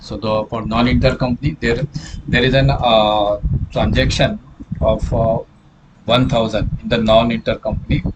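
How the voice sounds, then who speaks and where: A clearly noisy recording carries a man's voice at an average pitch. He speaks as a software trainer walking through a hands-on tutorial. His delivery is halting, with repeated phrases, hesitations and self-corrections. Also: dull audio.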